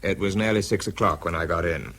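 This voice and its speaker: A very low-voiced man, a weary, sardonic scientist narrating a radio drama. His delivery is dry and understated, over clear background noise. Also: a little fast. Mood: dry, reflective. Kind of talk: first-person radio drama narration and dialogue.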